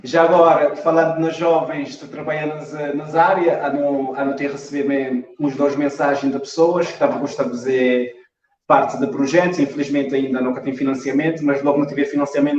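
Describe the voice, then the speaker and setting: A man's voice: medium pitch, darkish tone. A man giving casual, conversational answers as an interview guest. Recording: muffled, roomy, distant mic on stream.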